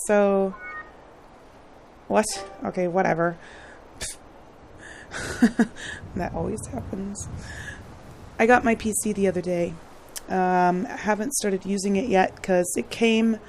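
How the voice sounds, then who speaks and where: A woman with a hoarse throat, chatting casually and rambling as she hosts a gaming build video, often laughing and clearing her throat. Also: medium pitch, a little hiss or hum. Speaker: gaming channel host. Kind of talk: casual gameplay commentary.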